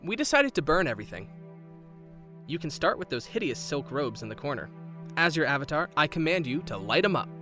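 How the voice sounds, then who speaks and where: A man's voice: a little high, sing-song, brisk. A man acting a playful, self-doubting young Avatar in a fan-made visual novel, earnest and teasing. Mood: playful, mock-grand. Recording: background music throughout.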